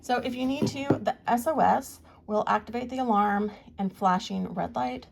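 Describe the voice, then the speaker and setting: A woman's voice: a little high, normal speed, crowd noise. A woman giving a casual, plain-spoken product review, explaining features as she shows them. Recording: clear background noise, handling clicks.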